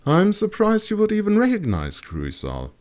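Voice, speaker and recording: A man's voice: sits high, wildly swooping pitch, normal speed, a little mellow, voice pushed up. A man voicing an observant woman traveler in a fantasy audiobook, wry and teasing in manner. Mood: surprised.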